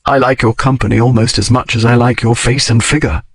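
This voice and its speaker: A man voicing a wry, devoted aristocratic gentleman in an audiobook, with understated, formal speech. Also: medium pitch, steady tone, a little fast, slightly rough, dry recording. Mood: firm. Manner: dry, understated period speech. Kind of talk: audiobook character reading.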